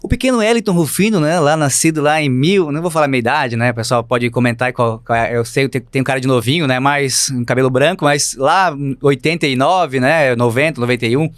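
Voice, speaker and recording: A man in his thirties from Santa Catarina with a local accent, a teacher speaking as a guest in a studio interview, playful and lively, often adding "né". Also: mid-range voice, no room sound. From Santa Catarina, Brazil. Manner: lively, playful, fast-talking with many "né". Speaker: guest, physical education teacher. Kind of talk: podcast interview.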